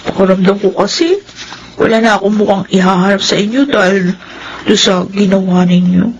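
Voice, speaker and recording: An actress as a young Filipino woman in a radio drama, a guilt-ridden daughter. Her mid-pitched voice is emotional and defensive, with faint noise behind it. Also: fairly even intonation, moderate pace, muffled recording, natural voice. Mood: sheepish, pleading. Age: young adult.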